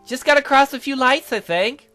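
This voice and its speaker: A woman's voice: sits high, normal speed, a bit bright, soft background music. A woman voicing an upbeat, teasing young female classmate in a visual novel game, breezy and casual. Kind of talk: visual novel character dialogue.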